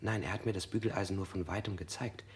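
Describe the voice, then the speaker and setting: Guy doing a scared prisoner in a radio play, low-ish voice, keeps taking back what he said. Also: fairly even intonation, fast, close to an everyday voice. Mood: confused. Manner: hesitant, keeps correcting himself, sometimes whispering. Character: frightened interrogated man, confused, correcting himself. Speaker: interrogated man. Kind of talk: radio drama acting.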